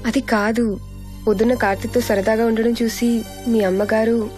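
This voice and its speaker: An actress playing an earnest, guarded young woman in a film drama, with a sincere, measured delivery. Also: on the high side, flat delivery, a little mellow, natural voice. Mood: worried. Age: young adult. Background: background music.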